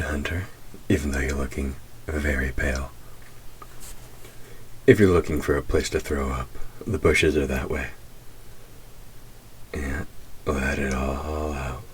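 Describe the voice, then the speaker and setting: An extremely deep male demon voiced by a man speaks directly to the listener in an audio roleplay. He taunts and teases like a predator toying with a trainee hunter, with menace under the words. A faint background noise sits behind the voice. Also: steady tone, unhurried. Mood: teasing. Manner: teasing, menacing, drops into whispers.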